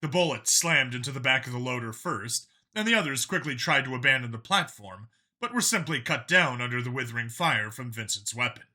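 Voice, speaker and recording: A man narrates an audiobook with a very bright, forceful voice at a middle pitch. His delivery is scripted and dramatic, clearly storytelling. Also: animated, fairly quick, hardly any pauses.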